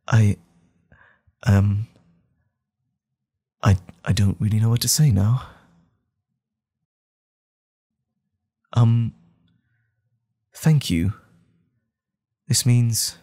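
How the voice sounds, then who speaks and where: A man playing a gentle, troubled doctor in an audio drama, speaking earnestly and formally with some hesitation. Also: medium pitch. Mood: hesitant, grateful. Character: gentle, troubled, apologetic doctor with a wild alter ego.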